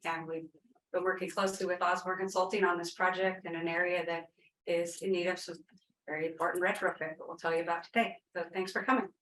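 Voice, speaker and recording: A woman with a lowish voice briskly introduces herself as a city utility planner at a conference. She talks quickly and warmly, and the room echoes with a faint hiss behind her. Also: flat delivery. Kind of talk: brief self-introduction.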